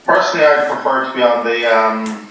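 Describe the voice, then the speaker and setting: A man chats casually in a deadpan, jokey way, wandering through his points with plenty of ums. He sounds far from the microphone in a very echoey room, with faint noise behind him. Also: medium pitch, brisk. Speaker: poker player being interviewed. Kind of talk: joking casual chat.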